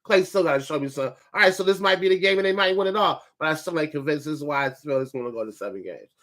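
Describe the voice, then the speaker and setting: A man's voice: on the high side, very fast, fairly bright tone. A man with a light voice hosting a casual sports podcast, talking loosely and profanely over his co-hosts. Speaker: podcast host.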